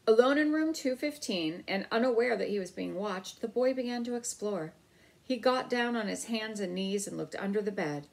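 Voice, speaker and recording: A woman narrating a children's novel aloud in an expressive storybook style, acting out the dialogue. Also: medium pitch, moderate pace, gravelly, rough recording.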